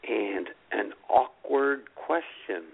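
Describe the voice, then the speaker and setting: A man's voice: mid-range voice, takes it slowly, creaky, husky. A man co-hosting a comedy podcast in casual, jokey chat, heard through a tinny, phone-like recording. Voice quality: animated, jokey. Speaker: podcast co-host.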